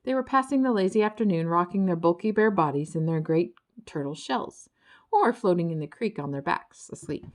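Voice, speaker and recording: Low-pitched, animated woman reading a story. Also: a little fast. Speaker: audiobook narrator. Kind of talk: expressive children's story narration.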